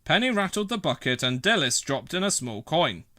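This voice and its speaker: Animated male storybook narrator, bright voice. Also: a little high, normal speed, no echo, clean studio sound. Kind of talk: expressive children's storybook narration.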